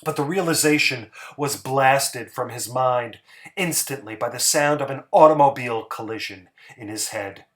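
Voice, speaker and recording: A man giving a dramatic story narration, theatrical and emphatic, with pauses for effect. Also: medium pitch, a bit bright.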